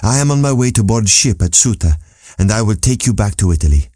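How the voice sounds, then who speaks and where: A man's voice: on the low side, expressive, fairly quick, mellow, no echo. A friendly eunuch singer from Italy, played by a male narrator, speaks with courteous, sentimental feeling. It is an emotional character in a literary audiobook, recorded dry and close. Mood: earnest.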